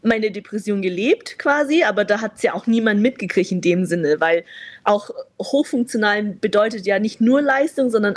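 A young woman born in China, speaking as a guest in a candid conversation, reflective and open, with frequent fillers and easy laughter. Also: mid-range voice.

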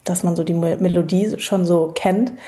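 A woman in her thirties from Berlin, a guest talking in a relaxed, reflective way with many pauses and fillers. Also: lowish voice. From Berlin, Germany. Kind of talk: casual interview chat.

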